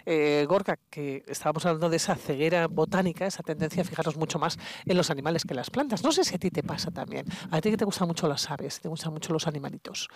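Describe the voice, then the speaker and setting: Brisk, fast-talking male host. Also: fairly high pitch. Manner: quick and conversational, prompting with short questions. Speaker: radio host. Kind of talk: interviewing guests.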